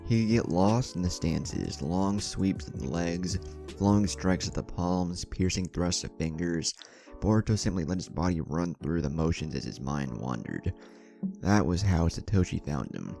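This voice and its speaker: A man's voice: deep voice, expressive, moderate pace, vocal fry, warm tone. A man reading a scripted story aloud as narrator over music. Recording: music bed under the voice.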